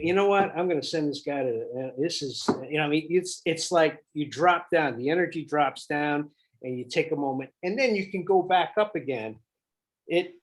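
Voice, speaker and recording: Male director, slightly high voice, informal feedback, halting delivery that circles back on itself as he thinks. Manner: halting, thinking aloud, repeating himself. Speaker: director giving notes to actors. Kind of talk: casual spoken feedback.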